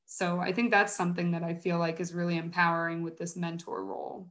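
A woman's voice: lowish voice, level tone, fairly quick, a bit bright. An American woman answering interview questions in an earnest, chatty, conversational way, thinking aloud as she goes. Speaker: interview guest, nonprofit coordinator and mentor.

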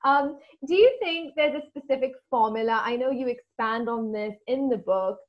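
A woman's voice: a little high, normal speed, lo-fi sound. A woman interviewing a guest, reflecting back the guest's points before asking a question. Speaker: interviewer, host.

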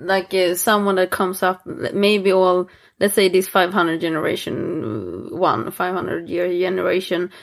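A woman's voice: fairly low pitch, unhurried, creaky. A woman from Sweden with a Swedish accent in casual conversation, speaking hesitantly with many restarts and fillers.

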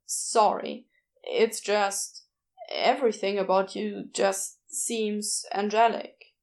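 Woman reading as an awkward, wry, lower-voiced man. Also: steady tone, darkish tone. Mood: awkward, apologetic. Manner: halting, blunt, awkward. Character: awkward, self-conscious florist man, wry and apologetic. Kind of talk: audiobook character dialogue.

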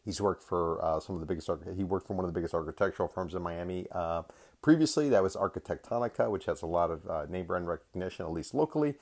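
A man's voice: deep voice, animated, quick pace, darkish tone, no room sound, studio quality. A man hosting an interview podcast in a casual, chatty, informal way with emphatic delivery. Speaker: podcast host.

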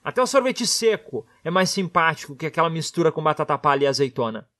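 High-voiced Brazilian man mocking theatrically. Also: very animated, quick pace. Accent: Brazilian Portuguese. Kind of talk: comic spoken monologue.

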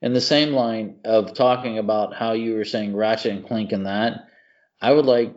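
A man with a fairly low voice chats casually as a podcast co-host. He is effusive and slangy, often restating a phrase to drive it home. The recording is a little dull and lo-fi. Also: darkish tone. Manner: effusive, slangy, repeats himself for emphasis. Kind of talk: casual chat about video games and movies.

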